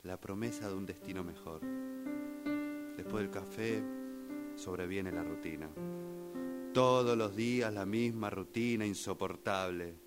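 A man with a fairly low voice performs a dramatic monologue. Loud music runs behind his reading. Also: unhurried, pauses often. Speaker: radio drama workshop student.

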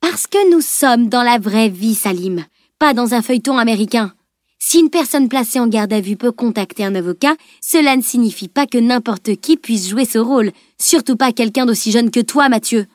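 A woman voicing a bossy, sharp-tongued teenage girl with a commanding, sarcastic delivery in an audiobook. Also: fairly high pitch, expressive, normal speed, no echo, clean studio sound, close to an everyday voice. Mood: scornful. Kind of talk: dramatised audiobook dialogue.

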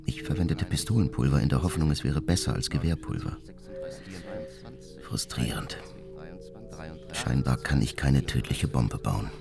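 A man's voice: moderate pace, long pauses. An actor playing a cold, methodical serial bomber who recounts his attacks in a detached, matter-of-fact monologue for a radio drama. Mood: detached, frustrated. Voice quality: very deep, creaky. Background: music.

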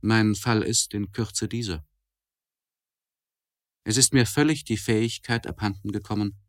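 An actor playing a tormented, learned gentleman in a literary radio monologue, speaking formally and deliberately in elevated, precise language. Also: lowish voice, no room sound, studio quality, natural voice. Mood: troubled, restrained. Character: anguished, learned gentleman writing a confessional letter, tormented and precise.

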